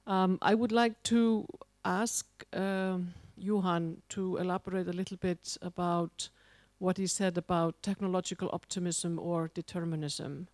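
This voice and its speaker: A woman from Iceland asking a question from the conference floor, measured and polite. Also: lowish voice, fairly even intonation, darkish tone, no room sound. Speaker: conference audience member.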